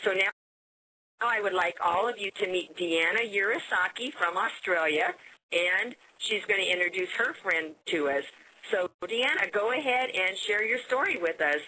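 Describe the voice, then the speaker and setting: An American woman hosting a business conference call, giving an upbeat sales pitch and often repeating words as she goes. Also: animated, normal speed, sharp tone, rough recording. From United States.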